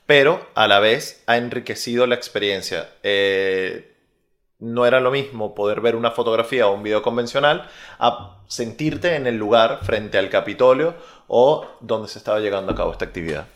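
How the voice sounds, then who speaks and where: A young Venezuelan man with a Venezuelan Spanish accent, a researcher answering interview questions in a measured way with frequent pauses. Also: fairly low pitch, a bit bright. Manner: measured, many filler "eh" pauses.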